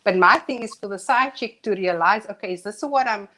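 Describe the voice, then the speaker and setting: A woman co-hosting a live talk show who talks earnestly and thinks out loud. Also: mid-range voice, brisk. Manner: earnest, reflective, thinks aloud. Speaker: co-host. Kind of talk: casual discussion on a live show.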